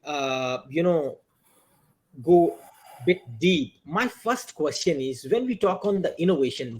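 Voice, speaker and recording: A man's voice: on the high side, darkish tone. A man hosting an interview podcast, with formal, slightly non-native phrasing. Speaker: podcast host.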